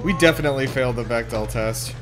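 A man co-hosting a casual movie-reaction chat, excitable and joking, with casual swearing. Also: mid-range voice, quick pace, music in the background. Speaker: podcast co-host.

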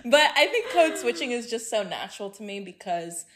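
Animated young woman in casual chat. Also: fairly high pitch, moderate pace, noisy background. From Hong Kong. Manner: animated, outspoken, laughs often.

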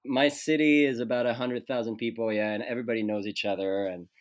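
A man's voice: medium pitch, steady tone, fast talker, no room sound. A man from Switzerland, a guest actor talking through his life in a thoughtful, searching way. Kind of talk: casual conversational interview.

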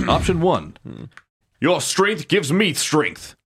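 A man voicing a deep-voiced damsel who is pleading for a hero's help, read in a mock-dramatic way. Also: big pitch swings, slow pace, fairly bright tone, slightly rough, exaggerated voice. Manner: mock-dramatic, theatrical reading.

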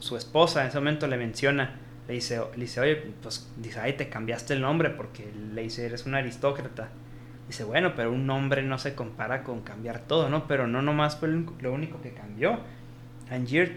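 Mexican man, casual podcast co-host, mid-pitched voice, animated retelling, faint room ambience. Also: normal speed, a little hiss or hum. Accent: Mexican Spanish. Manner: animated storytelling, acts out quoted dialogue, frequent restarts. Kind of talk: casual film discussion.

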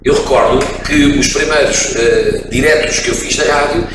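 A middle-aged radio man with a European Portuguese accent tells long stories in a relaxed interview, pausing often to gather his thoughts. His voice bounces around a very echoey room and sounds set back from the microphone. A clear hum of background noise sits under his speech. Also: on the high side, recorded from a distance. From France. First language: French. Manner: expansive storyteller, frequent hesitations. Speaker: radio broadcaster, interview guest. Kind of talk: casual interview, reminiscing.

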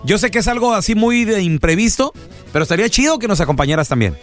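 A man with a Mexican accent hosting a radio show in lively, slangy banter. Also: high voice, quick pace. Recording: music playing behind. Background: background music.

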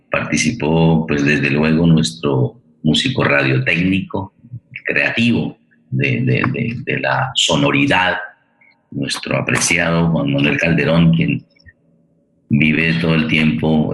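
Male forum host, extremely deep voice, halting delivery, roomy sound. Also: a bit bright. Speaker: host of a radio theatre forum. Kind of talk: spoken presentation and thanks.

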